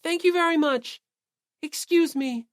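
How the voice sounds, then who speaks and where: A woman voicing a timid, gentle teenage boy for an audiobook, polite, hesitant and small-voiced. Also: very high voice, measured pace, dry recording, clean studio sound, voice pushed up. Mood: earnest, polite. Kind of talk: anime-style audiobook character acting.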